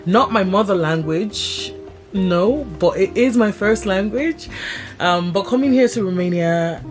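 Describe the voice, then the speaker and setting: A woman's voice: mid-range voice, a little slow, faint music behind. A young woman vlogging casually to her viewers, chatty and laughing often. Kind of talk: casual vlog talk to camera. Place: village home abroad.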